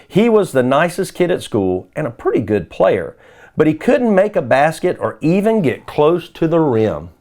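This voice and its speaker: A man's voice: a little high, big pitch swings, normal speed, a little mellow. A man reading a children's story aloud as scripted narration. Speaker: storybook reader.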